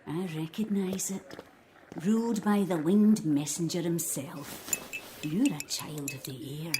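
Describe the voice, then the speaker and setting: An actress playing a serene, knowing old aunt with a mystical air in an audio drama. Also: female voice, on the low side, slow pace, background noise. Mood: kindly, mystical.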